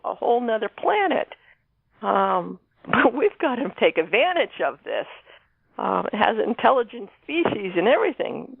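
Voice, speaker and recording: Very creaky-voiced woman on a phone line. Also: on the low side, no echo. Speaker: author guest. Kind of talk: casual interview talk explaining fiction. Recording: muffled narrow phone-like line.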